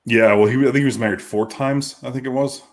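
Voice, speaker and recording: A man's voice: medium pitch, steady tone. A man co-hosting a podcast in casual chat, swearing heavily and restarting his sentences. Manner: heavy swearing, halting restarts. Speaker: podcast co-host.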